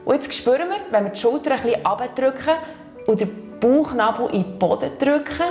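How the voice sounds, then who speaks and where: A woman's voice: on the high side, fairly quick, a little mellow, soft background music. Encouraging Swiss woman fitness coach. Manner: patient, encouraging, ends phrases with "oder?". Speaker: fitness instructor. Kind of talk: guiding exercises step by step.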